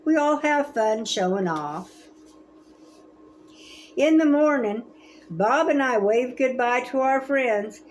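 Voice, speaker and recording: A woman in her seventies reading a children's story aloud in a gentle, expressive, grandmotherly way. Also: on the high side, takes it slowly, pauses often, low-quality recording. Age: 70s. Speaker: storybook reader.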